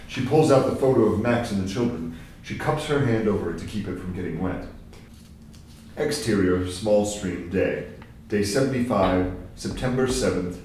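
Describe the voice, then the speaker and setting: Male stage-directions reader, deep voice, scripted narration, distant mic with faint background noise. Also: darkish tone, heavy reverb. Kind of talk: scripted screenplay narration at table reading. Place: large echoey room, live table reading.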